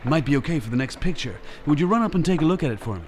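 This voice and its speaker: A man plays a busy film director in a vintage-style radio play. The voice is fairly high and animated, with an offhand, bossy, coaxing way of giving instructions. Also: racing, faint music behind, natural voice. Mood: brisk. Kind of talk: old-time detective radio drama acting.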